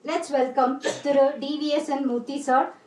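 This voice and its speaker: A woman's voice: high-pitched, fairly even intonation, moderate pace, a little mellow. A woman with an Indian accent, formally announcing a scripted programme as master of ceremonies. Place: indoor function hall with PA. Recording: echoey hall, distant microphone.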